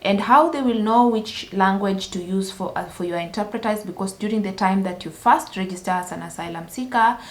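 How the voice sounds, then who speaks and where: A woman's voice: medium pitch, a little fast, hardly any pauses. A woman from Kenya sharing a personal story as a vlogger, earnest and careful, with many restarts. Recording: roomy echo.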